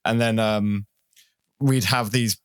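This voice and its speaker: A man's voice: on the low side, steady tone, slow pace, clean tone, no room sound, clean studio sound. A man chatting casually as a podcast interviewer.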